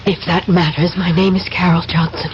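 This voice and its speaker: A woman's voice: lowish voice, little pitch movement, moderate pace, lightly acted. An actress playing a guarded, mysterious young woman agent, urgent and evasive, in 1970s radio drama style. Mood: cool, evasive. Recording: dull noisy vintage radio broadcast.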